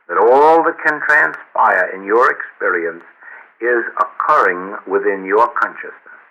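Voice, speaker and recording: A middle-aged or older man reading a spiritual teaching aloud in a solemn, measured, deliberate oratorical way. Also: low voice, bright, forceful tone, a little hiss or hum, poor audio. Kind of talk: read-aloud metaphysical teaching.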